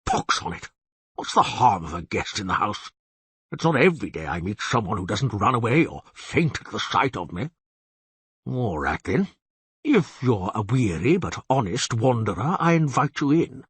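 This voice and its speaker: A man voicing a huge, blustering, irascible bear-headed monster in a gruff, raspy storybook reading. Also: on the low side, sing-song, normal speed, fairly bright tone, no echo, exaggerated voice. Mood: grudging. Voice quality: raspy, roaring. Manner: blustering, indignant, theatrical.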